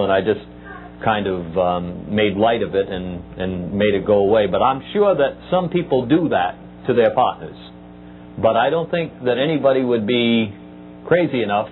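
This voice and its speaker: Male talk show host, middle-aged, halting and easygoing, phone-thin audio with faint hum. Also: lowish voice. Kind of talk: live call-in talk show chat. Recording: muffled lo-fi tape with hum.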